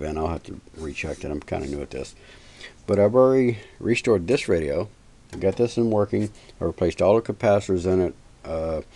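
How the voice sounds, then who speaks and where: A man's voice: low voice, dark tone. An older man giving a casual, unscripted hobby tutorial in a folksy, plain-spoken, instructional way. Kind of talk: casual unscripted how-to demonstration. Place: cluttered indoor home workbench. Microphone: handheld camera's built-in microphone. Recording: noisy amateur camera audio with handling clicks.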